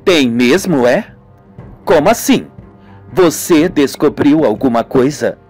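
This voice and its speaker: A man's voice: on the high side, expressive, slow, a little mellow. Guy doing a serious lady detective in a radio soap, direct and curious, music behind. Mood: eager. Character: serious female police investigator, inquisitive and direct. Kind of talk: amateur radio soap acting.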